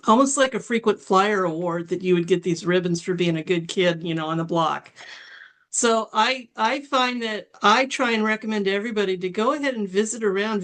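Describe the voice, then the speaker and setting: A woman in the audience of an online meeting, asking a long, rambling question and correcting herself as she goes. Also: medium pitch. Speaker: audience member. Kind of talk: casual question on a call.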